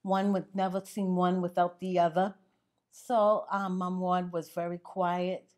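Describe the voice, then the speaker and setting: A woman's voice: lowish voice, level tone, a little mellow. An older woman fondly remembering her former pastor and his wife in an interview. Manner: fond, measured. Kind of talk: interview reminiscence.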